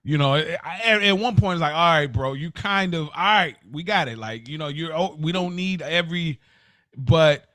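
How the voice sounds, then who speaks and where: A man's voice: on the high side, moderate pace, bright, forceful tone, dry recording, studio quality. An American man co-hosting a podcast, debating casually in a slangy, conversational way and often interjecting. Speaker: podcast co-host. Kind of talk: casual debate between friends.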